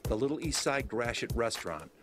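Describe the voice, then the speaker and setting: A man's voice: mid-range voice, sing-song, brisk. A man narrating a scripted TV feature as a voice-over. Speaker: TV reporter. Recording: voice-over with loud music bed.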